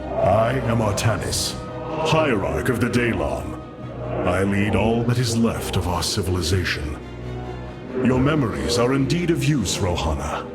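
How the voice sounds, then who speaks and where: A man playing a noble, stern alien warrior leader in a sci-fi game, grave, commanding and deliberate. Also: lowish voice, animated. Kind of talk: scripted sci-fi game dialogue. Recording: loud music behind.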